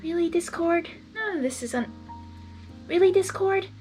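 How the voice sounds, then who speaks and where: A woman voicing a shy, gentle young female pony, speaking softly and timidly. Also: extremely high pitch, normal speed, faint music behind, pitched above the performer's own voice. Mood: hushed. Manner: soft, timid, hushed. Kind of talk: fan fiction reading.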